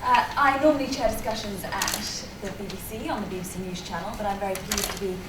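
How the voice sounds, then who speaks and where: A woman moderates a conference in a big echoey hall. She sounds articulate and brisk, with light asides. She is picked up from some distance with noticeable background noise. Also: mid-range voice.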